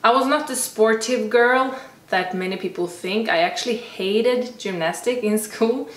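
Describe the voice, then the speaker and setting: A woman's voice: on the high side, measured pace, roomy sound, recorded from a distance. A young woman from Sweden speaking English with a Swedish accent, casually answering viewer questions in a chatty way with lots of "like". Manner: chatty, frequent "like" fillers, self-restarts. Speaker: fitness vlogger. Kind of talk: casual Q&A talk.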